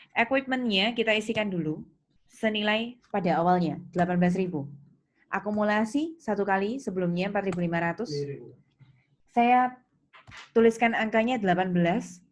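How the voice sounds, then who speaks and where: Casting: female lecturer, Indonesian accent, medium pitch, explains step by step with self-answered questions; noisy recording with typing behind. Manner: instructive, poses questions then answers them. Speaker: accounting lecturer. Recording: clearly noisy, with keyboard clicks.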